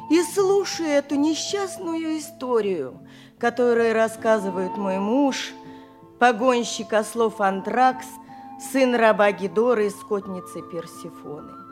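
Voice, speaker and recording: An actress playing a poor donkey driver's shrewd, long-suffering wife in an audio play, theatrical and declamatory, plaintive yet knowing. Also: female voice, on the high side, natural voice. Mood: weary lament. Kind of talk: audio play stage acting.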